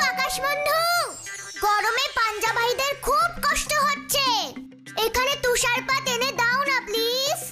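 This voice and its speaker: A little ghost child from a children's cartoon. The voice is extremely high and small, with an earnest, kindly, brave delivery. Loud music runs behind it. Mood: determined, pleading. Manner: earnest, pleading, reassuring. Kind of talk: animated children's cartoon dubbing.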